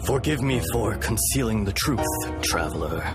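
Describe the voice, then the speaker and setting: A man voicing a calm, wise fragment of an ancient dragon in a human body, speaking gravely and formally in a video game. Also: lowish voice, normal speed, natural voice. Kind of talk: dramatic video game dialogue. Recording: game music underneath. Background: game music.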